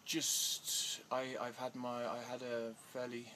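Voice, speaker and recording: Male interviewee, mid-pitched, halting delivery with restarts, noisy outdoor ambience. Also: completely flat delivery, pushed and bright. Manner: hesitant, many fillers and restarts, growing agitated. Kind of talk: casual interview answers. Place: outdoors in India.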